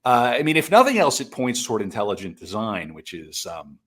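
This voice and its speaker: A man in casual podcast conversation, riffing in an animated way with emphatic repetitions. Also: on the low side, a little fast, breathy edge. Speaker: playwright guest. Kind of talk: casual conspiracy chat.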